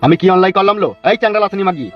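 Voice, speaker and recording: A man acts out a bullying cartoon father with a high voice and a rural West Bengal accent. He rattles along very fast, blustering and tripping on his words. The sound is dull, with music behind. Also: lightly acted. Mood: angry, scolding. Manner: blustering, stammers when agitated. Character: bullying, foul-mouthed, hot-tempered politician father. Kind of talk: comic cartoon dub.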